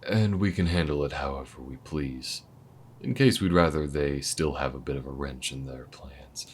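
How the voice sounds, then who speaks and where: Man voicing a alien gunslinger mentor, very deep voice, laid-back drawl, audio roleplay. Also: moderate pace, a little hiss or hum. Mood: steady, easygoing. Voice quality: very deep, low-key drawl. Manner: laid-back, soft-spoken, frequent near-whispers. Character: calm weathered male alien gunslinger mentor.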